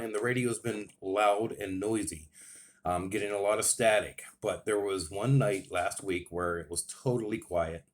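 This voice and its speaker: An American man giving a casual, enthusiastic and plain-spoken product review as a radio hobbyist. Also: lowish voice.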